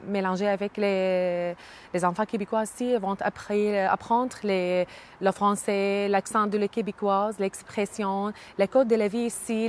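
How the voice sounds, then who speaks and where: A Syrian woman in her thirties whose first language is Arabic, speaking hesitantly with many pauses and fillers in an interview. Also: medium pitch. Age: 30s. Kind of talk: casual interview.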